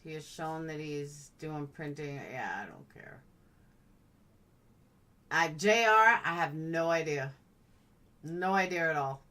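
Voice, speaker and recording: Woman chatting casually, lowish voice, distant mic. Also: sharp tone. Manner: blunt, chatty, playful. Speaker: live-stream host. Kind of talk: casual live chat, reacting to comments.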